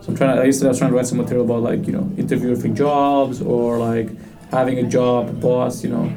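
A man's voice: mid-range voice, level tone, fast talker, creaky, over music. A man in his thirties from Romania, a stand-up comedian chatting casually in an interview, jokey and swearing freely. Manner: jokey, swears casually, laughs at own jokes.

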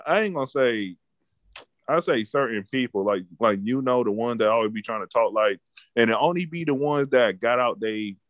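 A man's voice: medium pitch, lively intonation, normal speed, dry recording, lo-fi sound. A man in his twenties chatting casually, rambling and profane, often repeating words as he starts a thought. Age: 20s. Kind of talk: casual chat.

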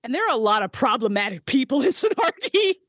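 A woman voice actress and writer, a podcast guest, talking excitedly and swearing freely about her projects. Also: high voice, fast, dry recording, clean studio sound. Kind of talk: casual podcast interview. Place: small handmade vocal booth.

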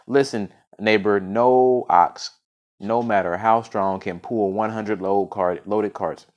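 A man's voice: fairly low pitch, steady tone, takes it slowly, a little mellow, muffled recording. A man voicing a wealthy, boastful merchant in a folk tale, dismissive and emphatic. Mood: dismissive, confident.

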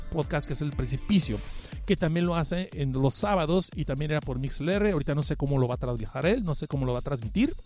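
A man's voice: on the high side, a little mellow. A middle-aged Mexican man with a cold, hosting a live podcast in slangy, self-deprecating casual talk and reading out listener comments. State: has a cough and cold. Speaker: podcast host.

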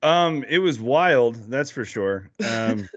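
Lively rambling American guy podcast guest. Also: medium pitch, slow pace, no room sound, lo-fi sound. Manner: rambling, lots of fillers and restarts, laughs easily. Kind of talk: casual podcast chat.

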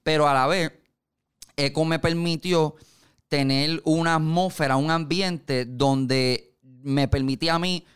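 A man's voice: fairly high pitch, fairly even intonation, unhurried, clean tone. A man with a Puerto Rican accent, a music producer being interviewed, telling stories in casual conversation. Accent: Puerto Rican Spanish. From Puerto Rico.

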